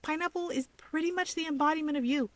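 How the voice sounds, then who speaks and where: A woman voicing a playful, teasing, warm-hearted young woman from a fantasy guild in a story reading. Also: sits high, fast talker, no room sound, pitched above the performer's own voice, natural voice.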